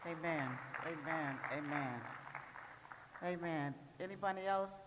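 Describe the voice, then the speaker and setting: A woman moderating a church panel, playful and quick with amens and jokes. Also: sits low. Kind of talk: moderating with affirmations. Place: large church hall. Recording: roomy hall with faint hum.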